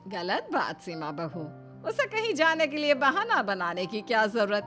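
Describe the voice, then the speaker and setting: Woman acting bright-voiced, motherly mother-in-law. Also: on the high side, wildly swooping pitch, moderate pace, soft background music. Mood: reassuring. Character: older mother-in-law, caring, firm, scolding peacemaker. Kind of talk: dramatised Hindi family story.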